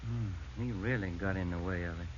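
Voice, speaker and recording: An actor playing an easygoing detective partner with dry humour in an old radio police drama. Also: male voice, deep voice, moderate pace, natural voice. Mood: sober. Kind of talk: 1940s-50s radio drama acting. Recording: muffled old radio recording, faint hiss.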